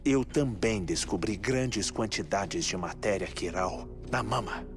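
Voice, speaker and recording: Measured low-voiced Brazilian scientist, acted by a man. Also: natural voice. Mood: calm, explanatory. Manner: expository, measured. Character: calm, methodical scientist explaining strange findings. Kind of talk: dubbed video game cutscene dialogue. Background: music.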